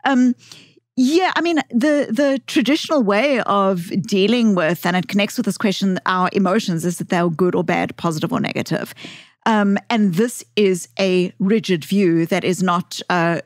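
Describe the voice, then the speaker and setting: A woman's voice: medium pitch, normal speed, dry recording, clean studio sound. A woman from South Africa with a South African accent, a psychologist guest speaking thoughtfully and sometimes stumbling over her words. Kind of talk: casual podcast conversation.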